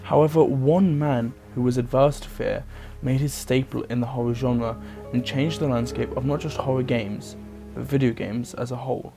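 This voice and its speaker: Guy reading a scripted video essay, normal pitch, music playing underneath. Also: steady tone, normal speed, creaky. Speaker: video essay host and narrator. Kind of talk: scripted documentary narration. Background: background music.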